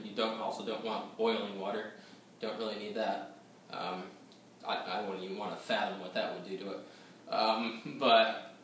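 A man's voice: on the low side, a bit bright, slight background noise. Young man rambling through a whiskey tasting. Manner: rambling, hesitant, many fillers. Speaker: whiskey reviewer. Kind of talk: casual tasting review to camera. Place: small room at home, seated at a table. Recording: echoey room, voice a bit distant.

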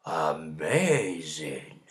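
A big, slow-witted monster growls his lines in a deep, drawn-out storybook voice, as a woman puts him on. The voice sits very low and moves slowly, but still swings in pitch. There is a roomy echo around it. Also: sing-song, heavily acted. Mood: gruff.

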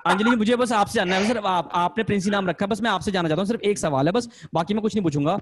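A young man doing stand-up crowd work on a video call, teasing the audience with quick, laughing banter into a stand mic at home. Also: sits high. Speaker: stand-up comedian hosting a video-call show. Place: room at home. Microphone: stand mic in frame. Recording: noisy video call audio.

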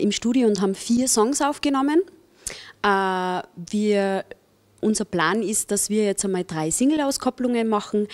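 A young Austrian woman, a singer and bandleader, talks through interview questions in a friendly, chatty way, pausing on small fillers as she goes. Her voice sits in a middle range and is picked up on a handheld mic in a stone-walled music venue. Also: medium pitch, darkish tone. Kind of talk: casual interview answers.

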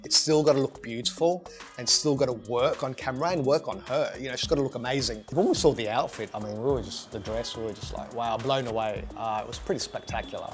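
A man's voice: mid-range voice, quick pace, soft background music. A middle-aged man, a hairstylist, talking enthusiastically with many fillers in a seated interview in a living room. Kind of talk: sit-down interview.

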